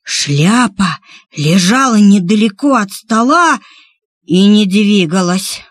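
A woman narrating a scripted children's story in an expressive, theatrical manner with drawn-out emphasis. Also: medium pitch, dry recording, studio quality.

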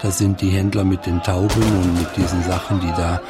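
A man with a fairly deep voice talks through a film as it plays. He is engaged and off the cuff, exclaiming and explaining over prominent music. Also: level tone, fairly quick. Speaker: commentator on a film. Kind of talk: spontaneous spoken commentary. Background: loud music.

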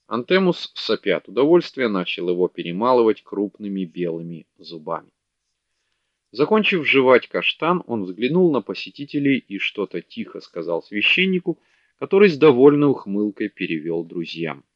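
A man narrating a historical novel in a steady, measured reading voice. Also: on the low side, dry recording. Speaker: audiobook narrator. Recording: dull dry lo-fi audiobook recording.

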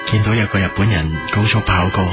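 A man's voice: on the low side, fairly even intonation, fast, dull audio, natural voice. A man playing an earnest narrator of a comic mock documentary, with a Hong Kong Cantonese accent. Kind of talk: scripted narration. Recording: music under the voice.

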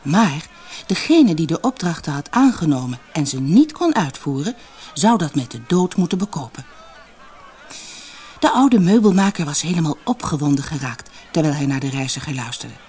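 A fairy-tale narrator with a husky voice reads from a script for an audiobook. The pitch moves in a lively way, and key words get expressive, dramatic weight. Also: moderate pace.

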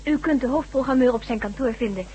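An actress playing a businesslike computer centre clerk in a radio drama, polite and a little hesitant. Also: female voice, high-pitched, quick pace, darkish tone, highs cut off, close to an everyday voice. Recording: noisy, humming old radio recording.

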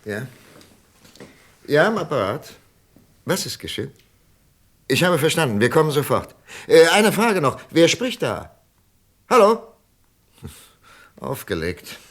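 An actor playing a stern, upright police commissioner, brisk and probing, in a radio play. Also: male voice, fairly high pitch, sing-song, full character voice. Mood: urgent. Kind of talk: German radio play dialogue.

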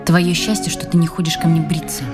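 An actress playing an angry, weary, contemptuous hairdresser in a radio play, curt and irritated. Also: female voice, low voice, flat delivery, brisk, lightly acted. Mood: weary, bitter. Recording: loud music under the voice. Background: loud music.

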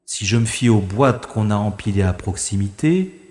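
A man's voice: on the low side, animated, normal speed, darkish tone, close to an everyday voice. A man playing a wry, gallant adventurer in an audio drama, speaking formally and thinking aloud. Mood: relieved, musing. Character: wry, gallant male adventurer rescuing a young woman. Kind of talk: French-language audio drama acting with first-person narration. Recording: echoey long reverb, music behind.

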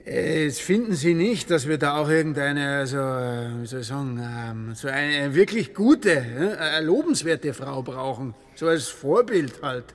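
A man's voice: on the high side, expressive, a little slow, few pauses, long reverb tail. An actor playing a timid, earnest churchman who stammers and fumbles for words in a satirical radio play. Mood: hesitant. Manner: hesitant, stammering with many fillers.

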